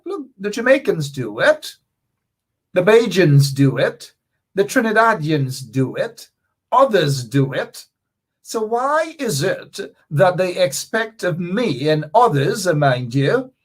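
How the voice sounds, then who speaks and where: Middle-aged guyanese guy on his talk show, high voice, super lively up and down, repeats stuff for emphasis. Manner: animated, emphatic, repeats key points. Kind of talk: political commentary monologue.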